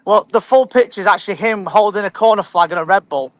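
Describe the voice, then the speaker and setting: A man's voice: high-pitched, quick pace. A man calling in to a fan show, emphatic, often stumbling and repeating words. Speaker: caller. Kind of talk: casual fan chat.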